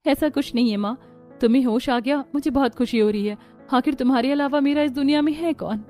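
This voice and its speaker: A woman's voice: high voice, brisk, mellow, faint music behind, natural voice. A woman voicing a hurt, self-respecting young daughter-in-law with an earnest, emotional delivery. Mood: relieved.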